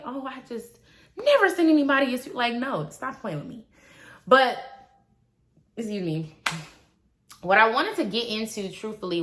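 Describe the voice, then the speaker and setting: A woman, talking casually and animatedly to her viewers, swinging between loud asides and quiet trailing remarks. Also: medium pitch. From Milwaukee, Wisconsin. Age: 20s. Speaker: vlogger. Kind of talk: casual confessional vlog.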